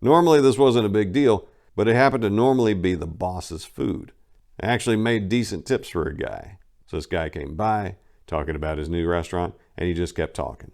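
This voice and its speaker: A man giving a dramatic reading of a first-person book, telling it conversationally and punching emphatic words before dropping low at phrase ends. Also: fairly quick, vocal fry, darkish tone. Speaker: reader of a memoir.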